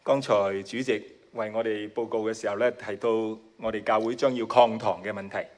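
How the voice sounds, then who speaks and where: A man's voice: on the low side, steady tone, a little fast. Man, Hong Kong accent, Cantonese native, guest preacher, modest, short phrases. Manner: modest, with shy laughs between phrases. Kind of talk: sermon delivered in short chunks for an interpreter.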